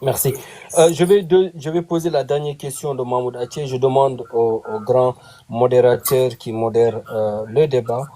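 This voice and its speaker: A man's voice: mid-range voice, steady tone, moderate pace, dark tone, slight background noise. A man hosting an online panel debate, putting audience questions to his guest with frequent hesitations. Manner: hesitant, many fillers. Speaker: panel host, moderator.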